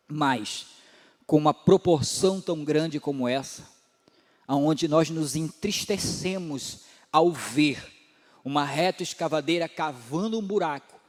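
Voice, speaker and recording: A man in his forties preaching a sermon as pastor, building to emphatic rises and dropping low again. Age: 40s. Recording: long hall reverb.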